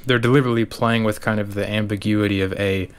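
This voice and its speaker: A man with a smooth, clear voice pitched a little low. He talks casually with his co-host on a podcast and fills his sentences with easygoing fillers. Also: steady tone, fast. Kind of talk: casual chat.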